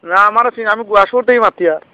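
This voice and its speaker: An aggrieved cattle buyer played by a man with a Sylheti accent, high in pitch and rattling along quickly. He nags and repeats his complaints like a customer in a comic prank call. The phone recording is muffled and lo-fi. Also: bright, forceful tone, no room sound. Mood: friendly. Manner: insistent, complaining, repetitive. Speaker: prank caller.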